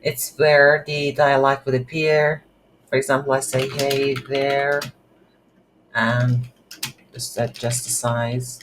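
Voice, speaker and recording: A tutorial instructor thinking aloud in a halting, unscripted way while demonstrating game development on a computer. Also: medium pitch, level tone. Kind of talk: unscripted screen-recorded software tutorial. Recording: background hiss, keyboard typing noise.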